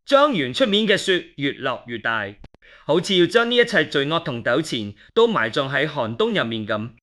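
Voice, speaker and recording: A man's voice: on the high side, big pitch swings, moderate pace, a bit bright. A man acting an inquisitive, level-headed investigator who narrates and speaks in an audio drama. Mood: suspenseful.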